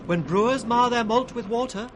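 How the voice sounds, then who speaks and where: A riddling British court fool in a Shakespearean radio drama. His high voice has a singsong, mocking rhythm. The vintage recording has clear background noise. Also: moderate pace. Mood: mocking, prophetic. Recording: noisy 1960s radio recording.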